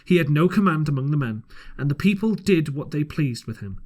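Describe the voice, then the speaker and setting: A man's voice: fairly high pitch, expressive, fairly quick, darkish tone. A man narrating an old adventure story as an amateur audiobook reader, sometimes stumbling and rereading a phrase.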